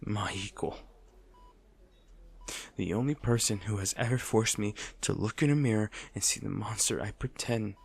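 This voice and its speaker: A man playing an anguished, possessive villain in an intimate audio roleplay monologue, halting and brooding, his gravelly voice often slipping toward a whisper. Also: fairly low pitch. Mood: possessive, grieving. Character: anguished, possessive male villain at rival hero's bedside.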